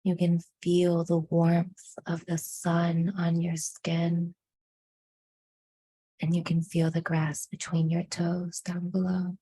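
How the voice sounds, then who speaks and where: A woman leading a guided meditation in a hushed, soothing manner, with deliberate phrasing and frequent pauses. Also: fairly low pitch, completely flat delivery, slightly rough.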